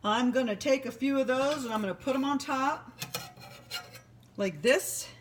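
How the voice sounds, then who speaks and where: A woman in her fifties talks through a recipe in her home kitchen. She is chatty and rambling and laughs easily, and her voice sits a bit high. The camera mic picks up a faint hiss behind her. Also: normal speed. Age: 50s. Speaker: home cooking vlogger. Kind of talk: casual cooking demo talk.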